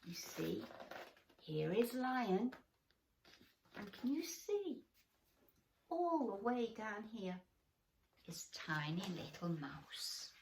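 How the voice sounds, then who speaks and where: A woman reading a bedtime story to young children, speaking to them directly in a gently encouraging, expressive way. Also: medium pitch, very slow, pauses often, a little hiss or hum. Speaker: storybook reader. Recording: roomy echo, distant microphone.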